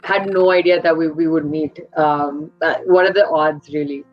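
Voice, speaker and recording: Chatty young woman guest, lower voice. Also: fairly even intonation, normal speed, faint music behind. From India, raised in Qatar. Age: young adult. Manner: chatty, filler-heavy, giggly. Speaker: interview guest. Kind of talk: casual personal storytelling. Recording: dull lo-fi audio.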